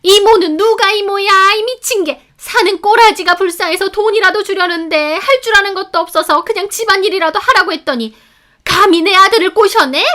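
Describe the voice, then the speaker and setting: Lady doing a super high shrieky mother-in-law yelling, bossy old lady. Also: a little fast. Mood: enraged. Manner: screeching, scolding. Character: shrill furious older mother-in-law, domineering.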